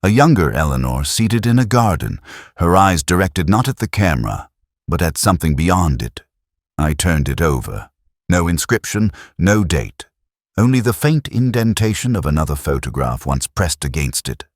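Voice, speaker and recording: A man performs as a melancholy male detective telling his case in the first person. The voice is extremely low, with lively rises and falls in pitch. The delivery is measured, reflective and literary, like a scripted mystery drama, in a dry recording. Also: dry recording, heavily acted. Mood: brooding, watchful.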